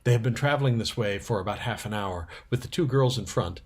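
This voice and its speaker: A man reading a children's story aloud as narrator, with a slightly husky voice. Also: on the low side, fairly quick.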